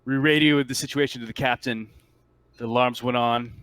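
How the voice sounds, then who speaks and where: A man playing a shaken marine soldier in a tabletop roleplay, giving a halting, stammering account of a disastrous mission. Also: medium pitch, fairly even intonation, a bit bright, smooth, no echo, natural voice. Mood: urgent recounting. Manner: halting, stammering, incredulous testimony. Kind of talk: improvised tabletop roleplay monologue.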